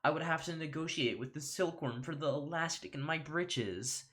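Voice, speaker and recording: A man's voice: fairly high pitch, normal speed, fairly bright tone, voice pushed up, lightly acted. A man voicing a pompous, folksy, theatrical courtroom lawyer in an amateur solo script reading. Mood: folksy, grandiose.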